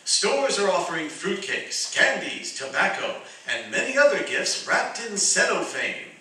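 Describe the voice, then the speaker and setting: A man's voice: high voice, very animated, measured pace, sharp tone. A man reading a scripted advertisement in the style of a 1940s radio spokesman. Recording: very echoey hall, distant mic.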